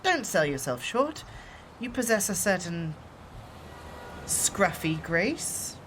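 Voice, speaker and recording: A man voices a dignified exiled shapeshifter who chooses his words with care and keeps his tone formal and measured. A faint hiss lies under his voice. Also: high-pitched, big pitch swings, fairly bright tone, heavily acted. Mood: solemn. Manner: formal, measured, old-fashioned phrasing. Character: formal, dignified exiled shifter who opens void portals.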